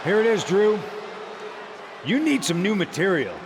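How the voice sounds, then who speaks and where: An actor with an American accent playing a smug, taunting veteran wrestler delivering a condescending promo in a video game. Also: male voice, high-pitched, big pitch swings, background noise, heavily acted. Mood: mocking. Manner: mocking, condescending, deliberate showman. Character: smug, taunting veteran male pro wrestler and cult-like leader.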